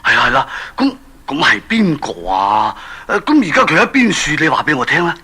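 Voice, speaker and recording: An aging king in a children's radio drama, troubled and impatient for answers. The voice is high for a man and forceful, with hugely animated pitch. The delivery is theatrical, full of urgent questions and exclamations. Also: fairly quick, slightly rough, full character voice. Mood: eager, puzzled. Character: aging worried king, anxious and impatient for an heir.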